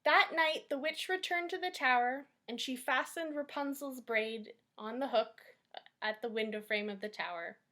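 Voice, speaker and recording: A woman hosting a library storytime, reading aloud and instructing children in an expressive, deliberate way. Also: high voice.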